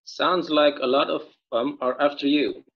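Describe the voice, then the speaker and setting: A man's voice: a little high, fairly even intonation, moderate pace. A man reading the part of a chatty small-town bartender who rambles through his stories, in a video-call table read. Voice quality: easygoing, rambling storyteller. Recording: dull lo-fi video call audio.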